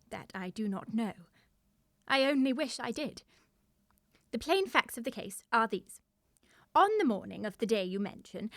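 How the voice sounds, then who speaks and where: Prim, swooping governess. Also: female voice, a little high, fairly bright tone, no room sound, studio quality. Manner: precise, formal, melodramatic. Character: prim, flustered, unmarried older governess, confessing precisely. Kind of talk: radio play acting, period comedy.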